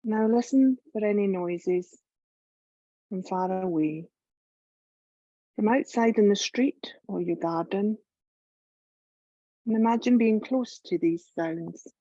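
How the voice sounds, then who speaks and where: A woman leading a guided meditation as a yoga teacher, calm and measured, giving gentle instructions with long pauses. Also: medium pitch, no room sound, highs cut off.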